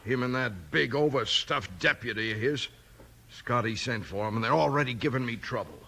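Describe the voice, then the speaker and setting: Gravelly, sharp-edged henchman. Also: medium pitch, animated, normal speed, a little hiss or hum. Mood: grumbling. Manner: tough, slangy, urgent. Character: gruff logging saboteur henchman, anxious and defiant. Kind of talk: 1950s radio western acting. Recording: 1950s radio broadcast.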